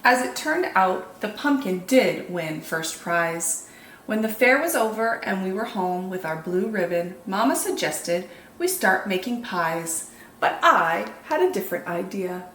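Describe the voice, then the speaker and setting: In an echoey room with faint noise behind her, a woman reads a picture book aloud for young children. Her pitch sits in the middle for a woman. She tells the story expressively and deliberately, stretching out words for dramatic emphasis. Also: expressive, fairly bright tone. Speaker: children's storytime host reading picture books.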